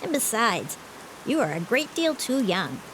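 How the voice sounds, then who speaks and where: A woman's voice: on the high side, sing-song, a little slow, a bit bright, a little hiss or hum, low-quality recording. Woman doing a solemn little girl voice. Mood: explaining. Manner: earnest, precise, grave. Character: solemn pale little girl, earnest and proper. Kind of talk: audiobook reading.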